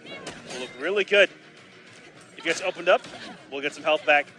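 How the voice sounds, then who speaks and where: Excitable high bright-voiced male caster. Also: normal speed, faint music behind. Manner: excitable, jumps to loud outbursts on big plays. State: slightly raspy from long casting. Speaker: esports commentator. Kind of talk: live fighting-game play-by-play.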